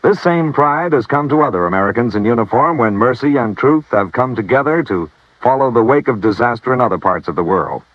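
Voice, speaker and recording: A man reading scripted announcements in a measured, solemn, formal 1950s radio announcing style. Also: mid-range voice, expressive, dry recording. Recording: dry, muffled vintage radio recording.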